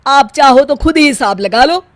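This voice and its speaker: A woman voicing a village milkman in a story, defensive and aggrieved, protesting loudly. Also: sits high, lively intonation, moderate pace, no echo.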